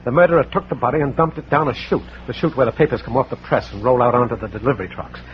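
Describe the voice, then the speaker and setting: A man acting an anxious, earnest suspect who talks fast and urgently. It is forties radio drama, with a muffled old broadcast sound. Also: mid-range voice, a little hiss or hum, natural voice. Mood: alarmed.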